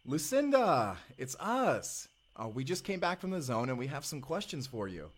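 A man's voice: on the high side, big pitch swings, moderate pace. A man playing an earnest, affectionate young male time traveler, speaking to the listener in an audio roleplay. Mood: excited. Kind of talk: first-person audio drama dialogue, spoken to the listener.

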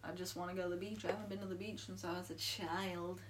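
A woman in her early thirties, chatting casually as a podcast co-host, playful and quick to laugh. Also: lowish voice, little pitch movement. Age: 30s. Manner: laughs easily, reads trivia aloud, playful. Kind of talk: casual chat. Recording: distant, faint hiss.

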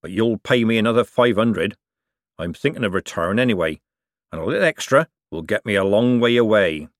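A man performing a sly old craftsman who deals in fakes, growling and coarse, with low, rough grumbles. The voice rises and falls a lot. The room sounds dry. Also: animated, a little fast, no room sound, close to an everyday voice. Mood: gruff. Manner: growling, coarse working-class speech. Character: gruff old male craftsman forger, greedy and sly.

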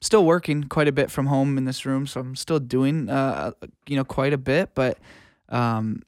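A man's voice: mid-range voice, fairly even intonation, moderate pace, clean tone, no echo, clean studio sound. A man talking casually and informally as an interview guest, telling stories about his work in a laid-back, enthusiastic way. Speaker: interview guest, music producer and audio engineer. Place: room at home.

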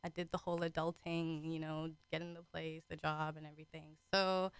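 A woman's voice: deep voice, level tone, fairly quick, clean tone, dry recording. A giggly, chatty woman in her twenties from the Caribbean, talking casually as a radio show guest. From Caribbean, raised in Trinidad and the British Virgin Islands. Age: 20s. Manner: giggly, chatty, acts out her own remarks. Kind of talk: casual chat.

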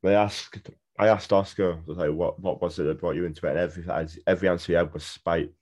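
A man's voice: deep voice, steady tone, fast, darkish tone. A man with a British accent hosting a casual podcast interview, chatty and enthusiastic. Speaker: podcast host. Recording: dull, lo-fi sound.

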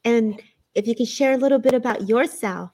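A woman hosting a conversational interview, speaking in a gentle, openly appreciative way. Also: a little high, fairly quick. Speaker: host.

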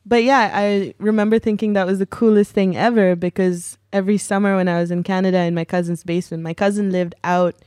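A woman from Pakistan, a podcast guest telling stories in casual chat with quick, dark humour. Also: mid-range voice, steady tone, clean tone, no echo, studio quality.

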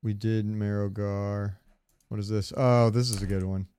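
A man's voice: fairly low pitch, steady tone, normal speed, dark tone, smooth. A man co-hosting a casual gaming podcast, talking in a rambling way with meandering asides. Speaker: podcast co-host.

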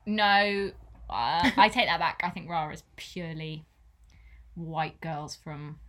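Bright-toned English woman, dry and self-mocking. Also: sits low, takes it slowly. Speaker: podcast co-host. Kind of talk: casual chat.

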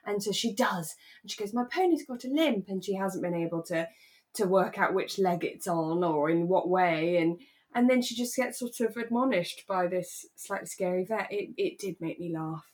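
A woman co-hosting a podcast, talking casually and giving her opinions gently, with hesitant restarts. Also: fairly low pitch, normal speed. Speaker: podcast co-host. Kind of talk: casual chat reviewing a radio drama.

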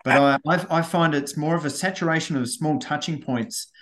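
An Australian man, a guest on a podcast interview, chatty and quick to repeat words as he gets going. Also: fairly high pitch, fairly bright tone. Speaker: guest, head of sustainability.

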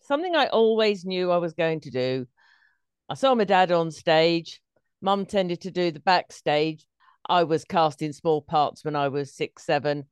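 A woman's voice: on the low side, expressive, measured pace, clear, resonant tone, no room sound. A middle-aged woman, a stage performer telling anecdotes as an interview guest.

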